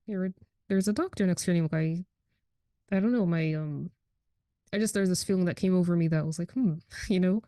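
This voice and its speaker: A woman's voice: fairly low pitch, fairly quick, mellow, dry recording, studio quality. An American woman hosting a casual interview, speaking informally and affirming what her guest says. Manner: affirming, informal, reacts and encourages. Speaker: podcast host, interviewer.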